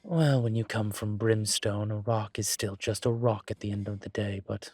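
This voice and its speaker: A man playing a suave incubus demon professor in a roleplay audio drama, teasing and protective, with a wry, measured delivery. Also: lowish voice, steady tone, darkish tone, dry recording, clean studio sound, lightly acted. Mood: teasing, charmed.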